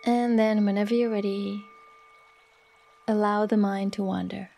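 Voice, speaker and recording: A woman leading a guided relaxation meditation in a soft, soothing, unhurried manner with long pauses. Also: mid-range voice, steady tone, gravelly, a little hiss or hum. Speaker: yoga nidra meditation guide.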